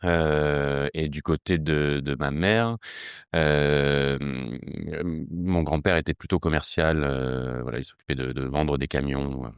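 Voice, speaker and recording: A man around forty from southern France with a low, smooth voice, recorded close and dry. He speaks casually in an interview, doubling back on words and searching for the next one. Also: fairly even intonation, slow, dry recording. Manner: hesitant, self-correcting, repeats words as they think. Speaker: podcast guest, communication agency director. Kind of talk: casual interview, recounting background.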